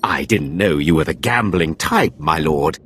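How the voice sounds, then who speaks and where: An actor playing a callous Sith overseer in a game, husky, wry and deferential yet commanding. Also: male voice, extremely low pitch, lively intonation, unhurried, fairly bright tone, a little hiss or hum, exaggerated voice. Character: callous, sardonic Sith overseer of slave trials.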